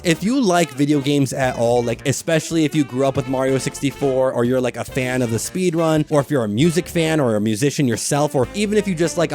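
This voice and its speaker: A man talking at a middle pitch in a loose, conversational commentary, blunt and peppered with "like" and swearing. Faint music plays underneath his voice. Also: darkish tone. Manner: chatty, full of "like", blunt and profane. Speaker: video essay host. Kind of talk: casual commentary.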